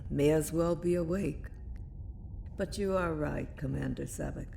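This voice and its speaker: A woman's voice: low-pitched, measured pace, creaky, dark tone, slight background noise, exaggerated voice. A woman playing an older, weary, reflective starship captain in an audio drama. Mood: weary, subdued.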